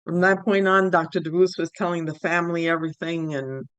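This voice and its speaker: A woman's voice: on the low side, steady tone, brisk, no echo. Chatty woman from cuba telling her own story, casual, close dry sound. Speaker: stroke survivor guest.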